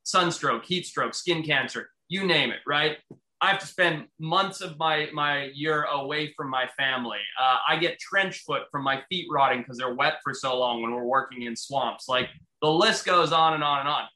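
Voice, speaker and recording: Energetic man with a very bright voice. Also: fairly high pitch, moderate pace. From Zimbabwe. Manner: energetic, blunt, swears freely. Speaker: guest wildlife biologist. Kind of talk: casual podcast chat. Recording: roomy echo.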